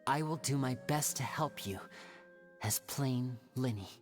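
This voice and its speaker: A man plays a loyal young gentleman with a solemn, measured delivery. His voice sits at a middling pitch, with clear music behind it, in anime game dialogue. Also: natural voice. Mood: sincere. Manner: measured, sincere, solemn explaining. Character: earnest, composed young man, loyal and polite. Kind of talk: anime video game voice acting.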